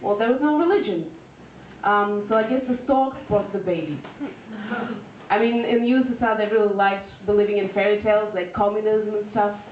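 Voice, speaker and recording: A woman, performing a deadpan stand-up set full of fillers and restarts, recorded live at a venue. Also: mid-range voice, normal speed, a little hiss or hum. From Russia. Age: 20s. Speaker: stand-up comedian. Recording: echoey lo-fi room recording from far off.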